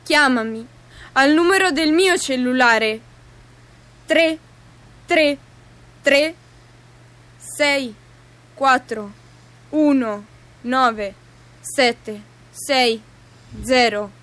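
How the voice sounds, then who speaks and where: A woman's voice: high-pitched, drawn-out words, pauses often, a bit bright, heavily acted. A woman playing an abandoned daughter, now a television performer, pleading for her mother in a theatrical radio monologue. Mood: hopeful, pleading.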